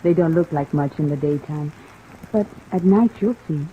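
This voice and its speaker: Actress as young small-town club singer, openly curious and friendly, period radio style, thin phone-like audio under rainfall. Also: female voice, low-pitched, normal speed, close to an everyday voice. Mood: confiding. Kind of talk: 1950s American radio drama acting. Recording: tinny vintage radio, steady rain noise.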